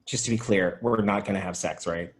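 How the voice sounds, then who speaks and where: A man playing an excitable, idealistic and naive young video store clerk, earnest and dramatic, in a comedy table read. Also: on the low side, a little fast, lightly acted. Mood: puzzled.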